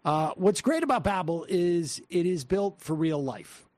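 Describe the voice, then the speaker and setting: A man's voice: sits high, sing-song, a little slow, a little mellow, dry recording. A man with a Massachusetts accent co-hosting a podcast, reading a sponsor spot in a loose, rambling way with many fillers and restarts. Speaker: podcast co-host. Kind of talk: casual political talk and host-read ad.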